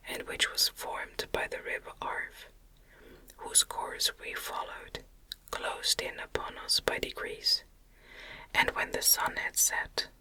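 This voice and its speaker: A woman reads classic gothic fiction in a soft ASMR whisper, with no full voice at all. Her delivery is slow, deliberate and soothing. The breath is very bright and sharp-edged, every phrase set down gently. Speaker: audiobook reader.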